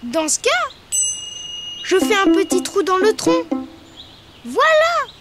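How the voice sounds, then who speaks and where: A woman's voice: very high voice, big pitch swings, slow, full character voice. A woman voicing a cheerful, helpful little cartoon friend who teaches drawing simply to preschool children. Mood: cheerful teaching. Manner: bright-spirited, simple, instructive. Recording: music behind the voice.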